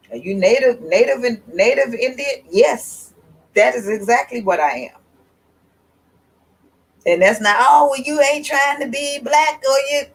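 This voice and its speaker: Slow, emphatic woman hosting a livestream. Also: a little high, slightly rough, low-quality recording. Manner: slow, rambling and emphatic, with restarts and laughs. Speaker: host. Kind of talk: casual livestream talk.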